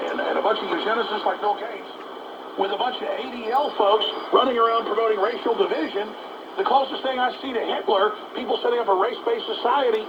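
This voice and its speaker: A man hosting a talk show, delivering an indignant, emphatic political commentary on a muffled, noisy shortwave radio broadcast. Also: high-pitched.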